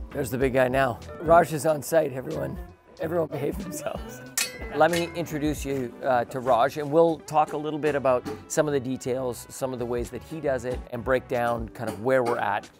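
Male host, forties, casual and self-deprecating builder on site, light music underneath. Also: medium pitch. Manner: conversational, self-deprecating, joking. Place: outdoor residential construction site under a raised house. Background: faint music.

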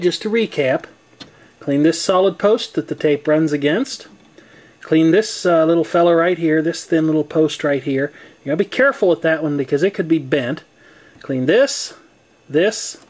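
A man's voice: high voice, darkish tone. An American man giving a casual hands-on demonstration in a folksy, wry, explanatory way. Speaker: presenter demonstrating tape deck maintenance. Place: indoor room at home. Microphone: handheld camcorder microphone.

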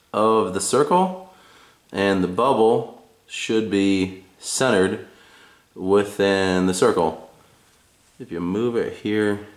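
A man casually showing how to use a tool in his home garage, talking in a laid-back, rambling way while filming on a handheld camera. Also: low-pitched. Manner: laid-back, rambling, with fillers and self-corrections. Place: home garage with concrete floor. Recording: echoey garage, faint background noise.

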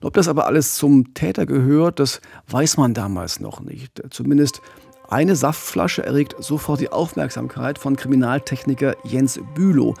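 A middle-aged man hosting a true-crime podcast, telling the story with a gravelly, husky voice on a studio mic. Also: medium pitch, expressive, normal speed, a little mellow, dry recording, faint music behind. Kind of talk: podcast storytelling, partly scripted. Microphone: studio mic with pop filter, headphones.

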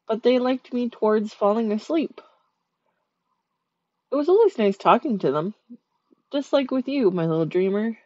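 Sweet, shy dream eater spirit, a woman voicing her, soft soothing ASMR delivery, dry close mic. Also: fairly high pitch, expressive, normal speed, long pauses, no room sound, muffled recording, natural voice. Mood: gentle, soothing. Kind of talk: ASMR sleep-aid roleplay.

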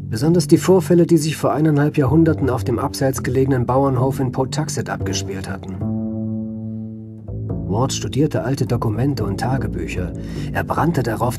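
A man's voice: mid-range voice, close to an everyday voice. Man as precise doctor narrating over music. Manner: formal, deliberate, precise. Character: educated doctor recounting his patient's case, measured and precise. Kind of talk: radio drama narration.

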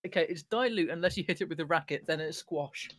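A man's voice: a little high, fast talker, background noise. A man chatting over a gaming voice call, playfully cracking puns. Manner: punning, playful. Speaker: gamer. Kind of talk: casual chat over voice call.

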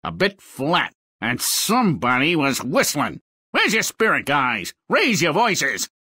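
A man voicing a bossy, excitable dwarf leader in a comic fairy-tale game, with a blustering manner. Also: fairly high pitch, slow pace, slightly rough, no room sound, studio quality, full character voice.

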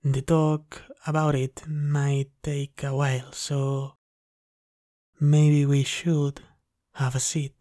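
A man playing an obsessive, polite vampire lab technician in a slow, intimate ASMR roleplay, speaking softly and haltingly. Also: mid-range voice, little pitch movement, dry recording, clean studio sound, lightly acted. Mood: calm, reassuring. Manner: hushed, halting, deliberately polite. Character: soft-spoken obsessive male vampire lab technician, courteous, menacing.

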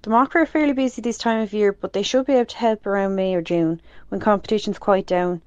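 A woman's voice: medium pitch, quick pace, darkish tone, clear, resonant tone, no room sound, lo-fi sound, close to an everyday voice. A woman with an Irish accent playing the matter-of-fact treasurer of a parish group in an amateur radio drama.

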